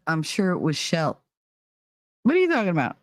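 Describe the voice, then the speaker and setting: A woman's voice: lowish voice, wildly swooping pitch, normal speed, no room sound. Middle-aged American woman, casual live-chat host. Blunt, teasing, sweary delivery, recorded dry. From New Jersey, United States. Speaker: live talk show host.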